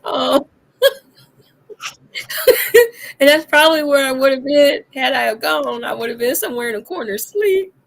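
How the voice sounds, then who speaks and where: A woman hosting a casual adult chat show, hoarse and deep-voiced from being sick, laughing and coughing as she talks. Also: normal speed, pauses often. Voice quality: deep, rough voice. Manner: laughs often, coughs between lines. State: hoarse from illness. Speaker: host.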